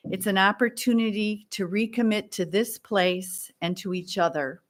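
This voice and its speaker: A woman leading the service, introducing the speaker and the offertory in an unhurried manner. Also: medium pitch, fairly even intonation. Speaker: worship leader.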